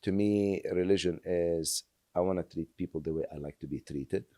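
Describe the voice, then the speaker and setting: Deep-voiced man in his sixties. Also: dark tone, dry recording, clean studio sound. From Lebanon. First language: French. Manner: earnest, halting with many fillers. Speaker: guest. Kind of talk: reflective interview storytelling.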